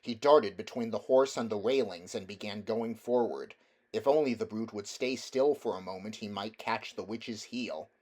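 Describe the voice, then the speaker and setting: A man's voice: fairly low pitch, normal speed, darkish tone. A man reading a children's fantasy novel aloud as scripted storybook narration. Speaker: amateur audiobook reader.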